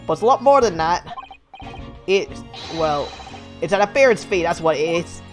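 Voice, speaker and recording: A woman's voice: lowish voice, expressive, normal speed, faint music behind, lightly acted. A woman voicing a self-important, brusque male police inspector from a video game. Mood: pompous. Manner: blustering, brusque, pompous. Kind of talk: reading video game dialogue in character.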